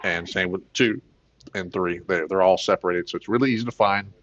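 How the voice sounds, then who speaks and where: A man with a plain baritone voice, hosting a casual gaming livestream, rambling with many fillers and excited bursts. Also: a little fast, lo-fi sound. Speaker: gaming channel host.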